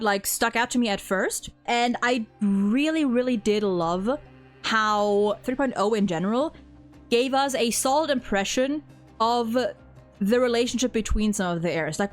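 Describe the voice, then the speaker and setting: Higher-voiced woman chatting, rambling and stammery. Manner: animated, rambling, stammering with repeated words. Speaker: streamer and video co-host. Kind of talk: casual chat reviewing a game's story.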